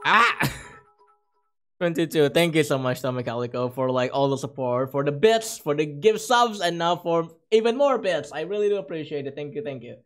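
A livestreamer chatting casually with viewers, voice hoarse and cracking from overuse, gushing thanks in an excitable, self-mocking way. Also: medium pitch, moderate pace. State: hoarse, losing voice. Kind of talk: casual stream chat with viewers.

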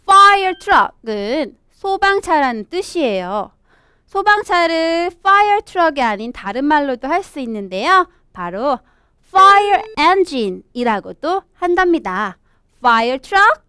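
A young woman from South Korea, a native Korean speaker, teaching English to children as a storybook reading guide in animated, exaggerated teacher talk. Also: high voice, extremely slow, dry recording, studio quality. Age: young adult. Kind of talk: children's storybook English lesson, switching between two languages.

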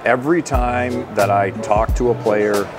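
A man's voice: medium pitch, measured pace, music in the background. A man in his forties, a hockey coach, giving earnest, emphatic conversational answers in an interview and repeating key phrases. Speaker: college hockey head coach. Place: indoor room at a table by a window.

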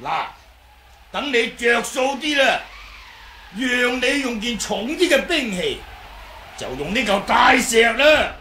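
An actor playing a boastful, wily, comical old martial artist in a Hong Kong Cantonese radio drama, chattering and teasing in drawn-out phrases. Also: male voice, very high-pitched, very animated, noisy background, heavily acted. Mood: scheming, playful. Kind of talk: 1980s radio drama acting.

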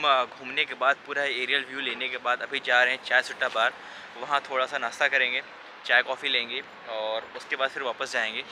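A man's voice: medium pitch, background noise. A man vlogging casually from inside a car, talking straight to his viewers about where he is going next. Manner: chatty, addresses viewers directly. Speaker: travel vlogger. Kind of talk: casual travel vlog.

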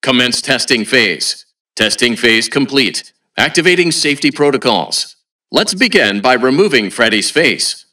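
An automated announcer for an entertainment venue in a video game. The voice is bright and punchy, mid-pitched, with a chirpy, scripted corporate polish. It is blandly cheerful throughout and sounds set back from the mic, like a public address system. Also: male voice, very animated, a little slow, far from the mic. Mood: instructive, upbeat.

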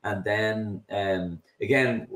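A man's voice: fairly low pitch, fairly even intonation, slow, a bit bright. A middle-aged Irish man, a company founder, explaining his work in a video call interview, often restarting and repeating words. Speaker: drone delivery company founder, guest. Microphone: webcam and computer mic on a video call. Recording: roomy video call audio, slightly distant.

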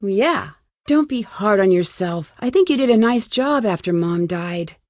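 A woman's voice: mid-range voice, expressive, measured pace, darkish tone, no echo, studio quality, lightly acted. A woman playing a young American detective in a video game, inquisitive, determined and guarded, speaking in a direct, conversational way. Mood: casual, reflective. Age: young adult. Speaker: video game lead character. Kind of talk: scripted game dialogue.

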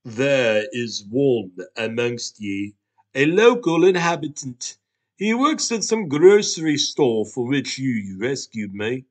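A man's voice: medium pitch, lively intonation, slow pace. A man voicing a solemn, prophetic talking snack pastry, male, with a slight English accent and grand, archaic phrasing. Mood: foreboding.

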